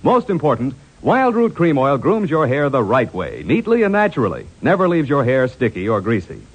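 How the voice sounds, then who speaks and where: A man's voice: medium pitch, wildly swooping pitch, moderate pace, husky, dull audio. A man announcing a 1940s radio advertisement in an emphatic salesman's style.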